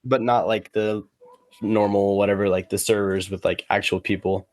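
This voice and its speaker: A man's voice: lowish voice, level tone, moderate pace, darkish tone, dry recording. A twenty-year-old man chatting casually as a podcast guest, rambling hesitantly and saying "like" a lot. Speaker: guest cornhole player. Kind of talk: casual podcast interview chat.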